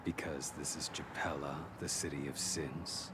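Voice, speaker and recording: An actor voicing a brooding, weary, stoic killer in a video game, with terse, grim, deadpan delivery. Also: male voice, measured pace. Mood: curt, grim. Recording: loud vehicle rumble behind voice.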